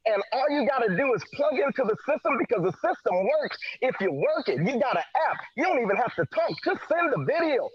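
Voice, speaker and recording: Male motivational speaker, very high voice, stutters, emphatic preacher-like encouragement, muffled online-call sound. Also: big pitch swings, fairly quick, hardly any pauses, slightly rough, dry recording. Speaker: motivational guest speaker at a sales team event. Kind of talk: motivational sales talk. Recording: dull lo-fi online call audio.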